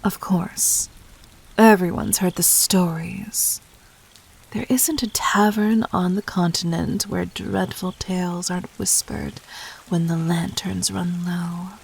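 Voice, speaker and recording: Demon queen, acted by a woman: breathy, low-pitched, commanding and amused, with teasing formal diction for intimate roleplay audio. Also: darkish tone, heavily acted. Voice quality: breathy, husky.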